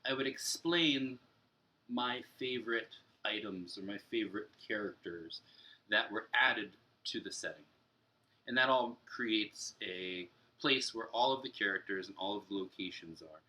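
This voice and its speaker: A man's voice: fairly low pitch, pushed and bright, rough recording. A young adult man speaking as a teacher, explaining a lesson directly to young pupils. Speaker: elementary teacher. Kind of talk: read-aloud and story structure lesson for children. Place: room at home, indoors.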